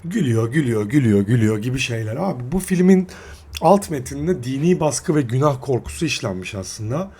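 A man giving casual, slangy film commentary as a video reviewer, halting and correcting himself as he goes. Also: medium pitch, lively intonation, warm tone, slight background noise. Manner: halting, self-correcting delivery.

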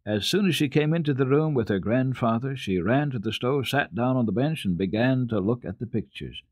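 A man giving an expressive, dramatic reading of classic children's literature as a radio storyteller, with deliberate phrasing. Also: medium pitch, no room sound, clean studio sound.

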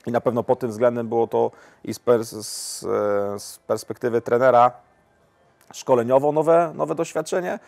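A man's voice: mid-range voice, steady tone, normal speed, gravelly, a little mellow, soft background music. A man in his forties, a football coach, answering interview questions in a lounge, often repeating words and restarting his sentences. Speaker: national women's team coach. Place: lounge with armchairs, indoors.

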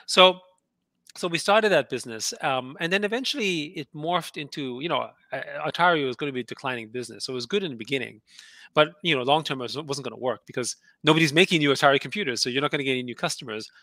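Middle-aged guy from austria, german first language, gravelly bright voice, rambles w lots of "you know", headset on a video call, dry sound. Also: mid-range voice, quick pace, dry recording. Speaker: guest entrepreneur. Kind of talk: casual interview over video call.

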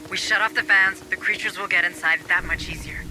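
An actor playing a pragmatic administrator turned activist who guides marines in a video game, earnest and commanding. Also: male voice, sits high, steady tone, brisk, pushed and bright, a little hiss or hum, low-quality recording. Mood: determined. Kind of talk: video game cutscene and mission dialogue acting. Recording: game music behind the voice.

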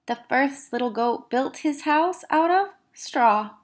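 A woman with a fairly high voice narrates a children's picture book. She reads like a teacher, expressively, placing careful emphasis on words for young listeners. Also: lively intonation, slow pace. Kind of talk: children's read-aloud narration.

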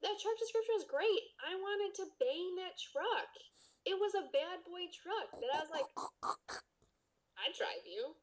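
A woman podcast co-host reading a story aloud casually, with playful, expressive banter. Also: very high-pitched, normal speed.

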